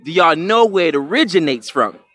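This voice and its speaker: A man interviewing people in a casual, energetic street interview, slangy and full of questions. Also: a little high, fairly quick, no echo. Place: outdoors at a carnival.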